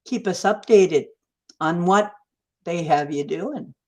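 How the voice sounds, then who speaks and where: A woman's voice: lowish voice, slow pace, darkish tone. A woman hosting a live stream, chatting casually and encouraging her guest, repeating herself. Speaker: stream host. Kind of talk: casual chat.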